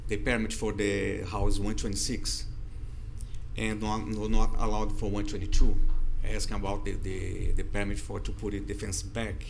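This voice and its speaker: A man with a Portuguese accent, a native Portuguese speaker, testifying at a city board hearing in halting English as he searches for words. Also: fairly low pitch, level tone, vocal fry, slight background noise. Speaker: property owner.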